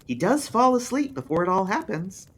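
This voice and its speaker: Man putting on a cynical higher-pitched woman. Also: very animated, normal speed, breathy edge, exaggerated voice. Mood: dry, cynical. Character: cynical quiet crocheting woman in book club.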